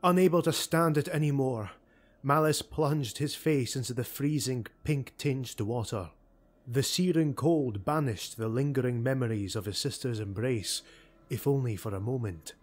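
A man narrating a dark fantasy audiobook in a measured, dramatic storytelling manner. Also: mid-range voice.

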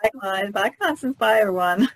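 A woman's voice: medium pitch, a little fast, dry recording. A woman artist chatting informally as a co-host on a podcast. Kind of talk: casual chat.

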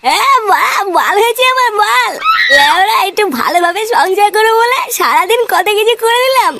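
A performer voicing a rebellious, put-upon boy in a comedy cartoon, squeaky and endlessly griping with constant swearing. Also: expressive, normal speed, pushed and bright, slight background noise, exaggerated voice. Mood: drunken griping.

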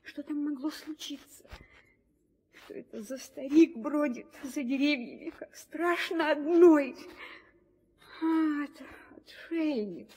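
An actress playing a devout, fearful young wife of a hero in a 1960s audio drama. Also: female voice, high voice, slow pace, a little mellow. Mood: anxious. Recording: old studio recording with music.

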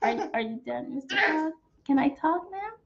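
A woman's voice: high voice, animated, normal speed, vocal fry, noisy background. A young American woman reading a picture book aloud to small children in a theatrical, sing-song, exaggerated way. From Texas, United States. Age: young adult. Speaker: children's storytime teacher. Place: small decorated room at home, indoors. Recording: dull, slightly muffled webcam sound.

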